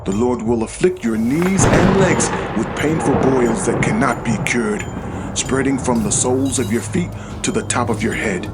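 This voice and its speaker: A man's voice: mid-range voice, moderate pace, very noisy. A man narrating scripture as a dramatized audio Bible reading, solemn and declamatory in delivery. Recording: music behind the voice. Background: background music.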